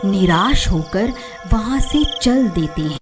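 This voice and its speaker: A woman's voice: medium pitch, expressive, slow. A woman reading scripted horror story narration as the storyteller. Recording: music behind the voice.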